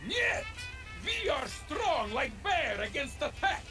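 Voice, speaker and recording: A scheming fake-Russian shopkeeper in a video game, all broken grammar and grand boasts. His pitch leaps around in a lively, animated way. Game music plays clearly underneath. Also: takes it slowly, heavily acted. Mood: grandiose. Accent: put-on Russian. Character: scheming male shopkeeper faking a Russian accent.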